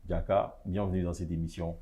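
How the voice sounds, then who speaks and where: A man hosts an interview on a studio set. He speaks in a very low, dark, mellow voice with an easygoing, relaxed manner. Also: brisk. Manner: easygoing, with frequent backchannel murmurs. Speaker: talk show host.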